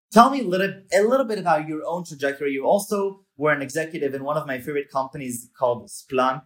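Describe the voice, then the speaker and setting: A man's voice: on the high side, fairly bright tone. Upbeat male podcast host. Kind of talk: scripted intro then interview questions.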